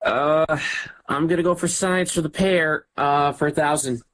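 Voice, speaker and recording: A man's voice: fairly high pitch, steady tone, a little slow, fairly bright tone, poor audio. Eager male game show contestant. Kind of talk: casual game play.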